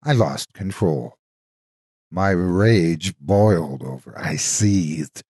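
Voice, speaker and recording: A man's voice: sits low, animated, slow, dark tone, slightly rough, no echo, studio quality, exaggerated voice. A man voicing a devoted, protective soldier's ghost in an earnest, solemn horror storytelling reading. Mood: seething.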